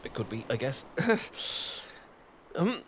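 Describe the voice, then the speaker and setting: A man's voice: medium pitch, very animated, slow pace, noisy background. A British man in an ASMR roleplay, talking in a flustered, stammering, self-deprecating way with nervous laughs and sighs.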